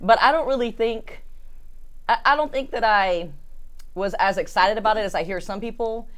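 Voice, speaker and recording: A woman's voice: mid-range voice, normal speed, fairly bright tone. A woman in her forties as a guest in a casual group chat, reflective and rambling as she talks things through. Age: 40s. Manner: reflective, rambling with many "like" fillers.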